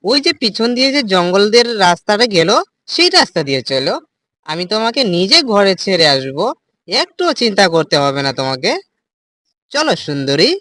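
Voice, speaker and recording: A voice actor playing a menacing, taunting male village thug in a cartoon, with a slow mocking drawl. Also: mid-range voice, big pitch swings, a bit bright, no echo. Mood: sly. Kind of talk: cartoon voice acting.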